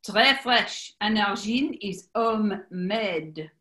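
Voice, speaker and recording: A woman's voice: mid-range voice, expressive, takes it slowly, pushed and bright. A woman playing a formal, flamboyant French maitre d' with a French accent in a 1940s-style radio comedy. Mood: formal, obliging.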